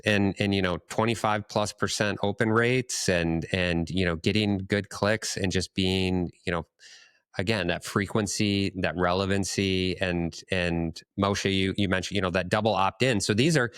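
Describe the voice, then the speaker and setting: A man's voice: deep voice, fairly bright tone, no room sound, clean studio sound. A man hosting a podcast in a conversational style, thinking aloud and feeling out his phrasing as he goes. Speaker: podcast host.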